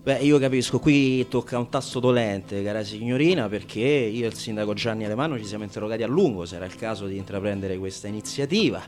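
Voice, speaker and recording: A man's voice: lowish voice, fast talker, hardly any pauses, darkish tone, soft background music, natural voice. A man playing a self-important city culture councillor in a satirical radio sketch, rambling and evasive. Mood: patronising, evasive. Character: pompous, bumbling Roman city culture councillor.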